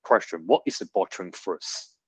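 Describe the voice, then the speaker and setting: A man with a husky voice hosting a podcast, introducing a guest and asking questions. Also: low voice, normal speed, darkish tone, no echo. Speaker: podcast host. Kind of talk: scripted introduction and interview questions.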